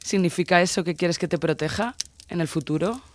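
Guy acting as a young sailor woman in an audio story, intense n possessive, teasing, voice on the high side. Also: fairly quick, natural voice. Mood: earnest, intimate. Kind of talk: dramatised audio story dialogue.

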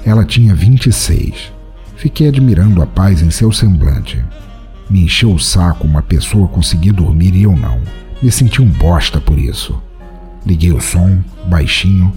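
A low, dark male voice acting a world-weary, hard-drinking poet in an audio drama. He tells his own story with sardonic brooding and a lively, swinging intonation. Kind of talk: first-person audiodrama narration of a short story.

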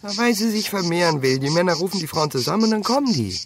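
An actor playing an earnest, matter-of-fact young son in a radio play, keen to contradict. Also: male voice, high-pitched, very animated, fast, dry recording. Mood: argumentative.

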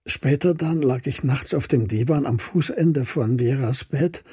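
An actor playing a melancholy middle-aged man recalling his childhood in measured, reflective radio drama narration. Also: mid-range voice, dark tone, husky, no room sound, clean studio sound.

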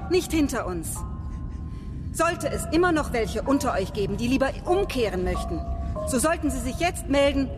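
An actress playing a resolute leader addressing her people in a radio drama, solemn, measured and oratorical. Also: sits high. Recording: long hall reverb, music behind.

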